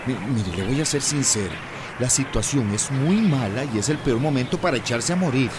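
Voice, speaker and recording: An actor playing an earnest, insistent young rescue worker in a radio drama, pleading and urgent. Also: male voice, medium pitch, expressive, normal speed, lightly acted. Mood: firm, frank. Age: young adult. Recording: noisy outdoor ambience.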